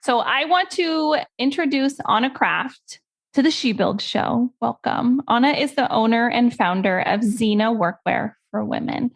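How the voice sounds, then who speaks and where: A woman's voice: on the high side, measured pace, creaky. A woman with an American accent hosting a podcast interview in a casual, chatty way. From California, United States. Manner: casual, chatty, frequent filler "like". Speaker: podcast host. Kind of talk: upbeat interview and casual chat.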